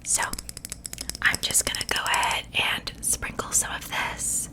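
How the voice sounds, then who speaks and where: A woman's voice: a little slow, natural voice, poor audio. A woman voicing a sweetly menacing witch in a whispered ASMR roleplay, soothing and falsely reassuring as she talks without hurry. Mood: soothing, gleeful. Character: sweetly menacing witch seasoning her captive for stew.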